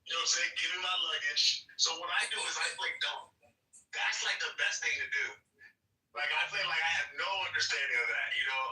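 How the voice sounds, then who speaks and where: A man's voice: a little high, quick pace, bright, forceful tone. A man chatting casually as a podcast host, telling travel stories in a laid-back, slangy way. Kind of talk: casual chat. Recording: roomy, distant room sound.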